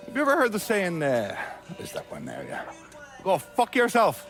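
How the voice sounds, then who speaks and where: Cantankerous, wildly swooping Irish gangster. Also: male voice, sits high, wildly swooping pitch, moderate pace, a bit bright, soft background music, full character voice. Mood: crude defiance. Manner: crude, combative, sarcastic. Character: foul-mouthed, cantankerous hard-drinking Irish gangster. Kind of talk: video game voice acting.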